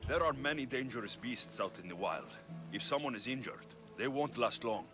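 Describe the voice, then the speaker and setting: An actor playing a gruff young Greek mercenary hero, blunt and earnest, in a video game cutscene. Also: male voice, medium pitch, animated, normal speed, lightly acted. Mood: businesslike. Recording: music behind the dialogue.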